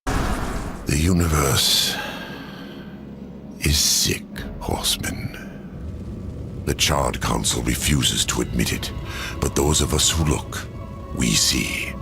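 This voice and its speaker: A man voicing the ancient Angel of Death in a video game cutscene, solemn and measured, sometimes dropping to a hush. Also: a bass voice, creaky, over music, full character voice. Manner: solemn, measured, urgent hushed pleading. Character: ancient weary male Angel of Death, grave. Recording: game score under the voice.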